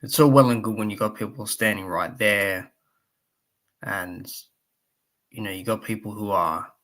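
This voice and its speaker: Male live streamer, croaky, low-pitched, loose conversational delivery full of fillers. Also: unhurried, fairly bright tone. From Australia. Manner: rambling, many fillers, reads chat aloud. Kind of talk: casual live stream commentary.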